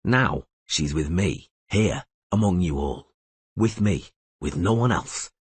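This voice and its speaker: Man doing a low, husky witcher. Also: slow pace, dry recording, heavily acted. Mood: brooding. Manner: low, dry, deadpan. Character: gruff male witcher, wry and devoted. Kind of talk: audiobook reading.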